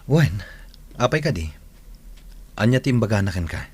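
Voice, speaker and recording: Casting: low-voiced office employee, protective elder brother, troubled; Ilocano radio drama, Ilocano accent. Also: male voice, very animated, moderate pace, a little mellow, breathy edge. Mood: concerned.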